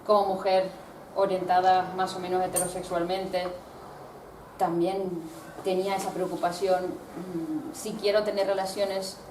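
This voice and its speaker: A woman from Italy, a researcher giving a talk with slides, speaking thoughtfully and pausing often to search for words. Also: on the low side, little pitch movement. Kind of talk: discussion talk presenting slides. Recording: roomy, distant mic, background noise.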